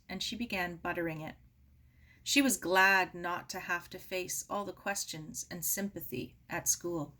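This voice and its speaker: A woman reading a children's novel aloud as expressive scripted narration. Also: lowish voice. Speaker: audiobook reader.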